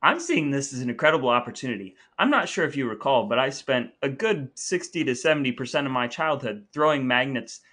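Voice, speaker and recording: Male podcast host, bright voice, wordy comic delivery with a mock-grand streak. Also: medium pitch, sing-song, a little fast, few pauses. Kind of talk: casual comic banter, partly dramatic reading of texts.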